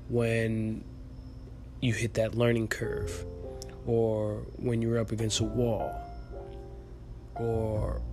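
Earnest young man with music behind. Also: medium pitch. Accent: light Dominican Spanish. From Dominican Republic. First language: Spanish. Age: 20s. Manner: earnest, rambling, motivational, with many fillers and restarts. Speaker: podcast host. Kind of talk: casual solo podcast monologue.

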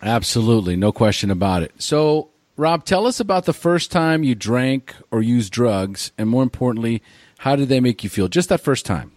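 A mid-pitched man hosts a recovery interview and affirms his guest often with quick agreement. His voice sounds dry, with little room sound. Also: lively intonation, darkish tone, no room sound. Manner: affirming, often says "absolutely". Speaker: host.

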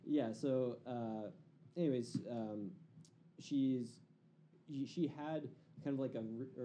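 A man with a fairly low voice improvises as a tabletop game master. His delivery is casual and halting, and there is clear noise in the recording. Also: dark tone, low-quality recording.

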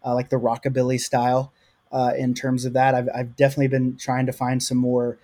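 A man from the US South speaks with a light Oklahoma accent, giving an interview on a podcast. He rambles earnestly, full of "like"s, in a mellow, dark tone. His pitch stays flat and even. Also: fairly quick. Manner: rambling, earnest, lots of likes. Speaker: podcast guest. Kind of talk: casual interview.

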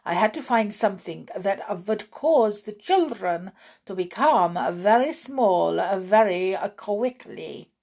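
Grand High Witch, read by a woman. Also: animated, darkish tone. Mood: scheming. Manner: slow, emphatic, theatrical pronouncements. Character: commanding female witch leader, ruthless and vain. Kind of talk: audiobook character reading.

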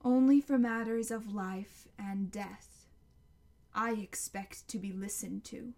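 A woman's voice: mid-range voice, darkish tone. A woman voicing an ancient, towering tree monster in a storybook, proud and menacing, speaking in a grand, declamatory way. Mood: commanding. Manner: grand, slow, declamatory, building to a roar.

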